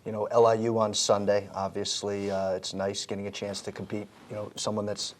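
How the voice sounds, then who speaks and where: A man's voice: fairly low pitch, monotone, fairly quick. A man hosting a sports interview, asking upbeat, conversational questions. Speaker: interview host.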